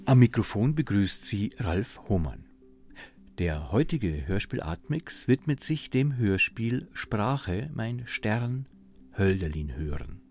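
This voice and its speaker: A man presenting scripted radio introductions and interview questions in a measured, formal way. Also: on the low side, big pitch swings, over music.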